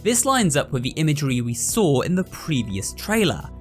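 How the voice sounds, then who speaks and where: A man's voice: a little high, big pitch swings, moderate pace, soft background music. A man narrating a scripted breakdown as the host of a video.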